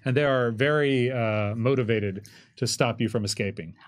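A man's voice: mid-range voice, normal speed. Casual man running a tabletop game. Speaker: game master. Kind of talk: narrating a tabletop roleplaying game. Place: indoor streaming studio with curtain backdrop. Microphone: desk microphone.

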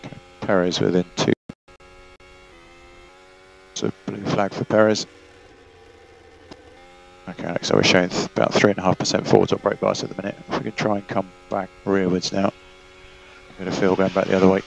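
Male race engineer: low, flat, gravelly, calm and methodical over radio. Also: moderate pace, long pauses, a little hiss or hum, low-quality recording. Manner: calm, steady, repeats key numbers. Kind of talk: team radio instructions.

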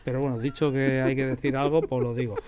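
A man's voice: medium pitch, little pitch movement, quick pace, darkish tone. A man with an accent from Spain chatting casually in an interview as a director, informal and emphatic, often repeating himself. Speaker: radio drama director and sound mixer. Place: radio drama studio.

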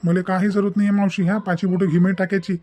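Man voicing an indignant, high-pitched, quick young son. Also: flat delivery, mellow, natural voice. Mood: defiant. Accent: Maharashtrian, Vidarbha dialect. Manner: argumentative, lecturing, emphatic. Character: indignant, principled young adult son defying his mother. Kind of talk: comic family drama cartoon dubbing.

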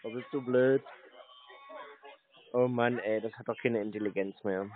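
A man's voice: mid-range voice, steady tone, measured pace. A man in a mocking phone conversation, talking bluntly and sarcastically, scolding the other party. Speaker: scam baiter. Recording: tinny phone-like sound, clear noise.

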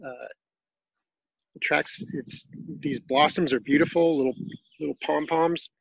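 A man giving a casual tour of his backyard garden, explaining plants in a relaxed, informative way with some fillers. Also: a little high, measured pace, creaky, slightly rough, no echo, low-quality recording.

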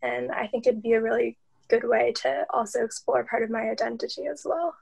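Higher, creaky young woman. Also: steady tone, a little fast, darkish tone, rough recording. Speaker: panelist, young photographer. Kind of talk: casual panel talk. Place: indoors on a video call. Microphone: video call microphone.

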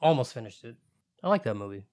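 A man's voice: medium pitch, sing-song, fast talker, darkish tone. Guy on a movie podcast, mid voice, blunt opinions, swears a lot. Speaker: podcast co-host. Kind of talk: casual film chat.

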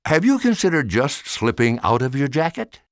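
Storybook role: elderly toy maker, male narrator acting him, teasing, kindly, hugely animated delivery, dry sound. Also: medium pitch, moderate pace, dry recording, pitched above the performer's own voice. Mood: sly. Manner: chuckling, playful teasing.

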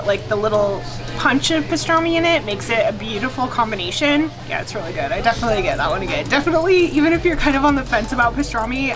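Chatty woman food vlogger, bright pitch. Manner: chatty, enthusiastic, lots of fillers. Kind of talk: casual chat to camera. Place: small restaurant booth indoors. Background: background music.

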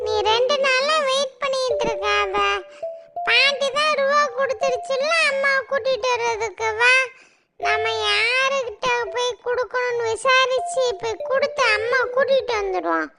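A woman's voice: very high voice, moderate pace, vocal fry. A woman voicing a young boy in a cartoon who insistently pleads for his mother. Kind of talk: cartoon voice acting. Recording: music behind the voice.

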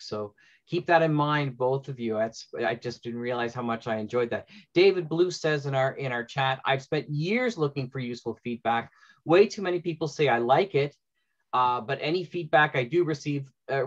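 A middle-aged man moderating a casual panel discussion over a lo-fi video call. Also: mid-range voice. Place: indoor room at home. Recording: dull lo-fi video call audio.

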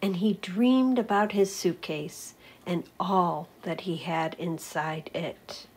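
A woman's voice: on the low side, slow pace, slight background noise. A woman narrating a children's storybook aloud, in the manner of a teacher reading to pupils.

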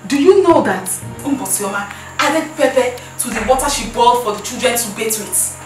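A woman's voice: on the high side, normal speed, far from the mic, lightly acted. A woman playing a protective wife and mother, heated and firm. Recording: very echoey room, off-mic, music behind.

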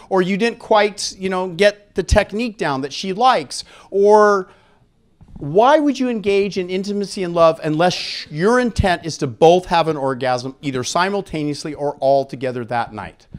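A middle-aged man giving a frank, informal live lecture in a jokey, conversational way, speaking into a handheld microphone. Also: on the high side. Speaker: conference speaker on sexual health. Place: indoor conference room with seated audience.

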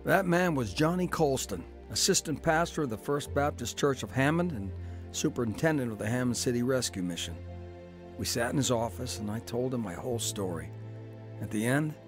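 A man's voice: mid-range voice, expressive, normal speed, over music, natural voice. An actor playing a down-on-his-luck man who narrates his own story in a radio drama. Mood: reflective.

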